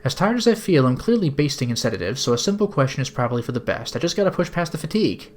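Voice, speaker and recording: A man voices a sarcastic young game heroine, groggy and held captive. The inner monologue is wry and exasperated, with very lively swings in pitch. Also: rapid-fire. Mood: weary. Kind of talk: video game dialogue read-aloud.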